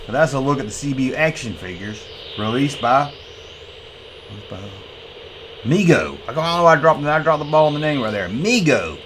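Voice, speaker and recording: A man's voice: medium pitch, expressive, normal speed, fairly bright tone. A folksy man hosting a toy review, chatting casually with playful CB slang and repeating himself. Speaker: toy review video host. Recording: faint background noise.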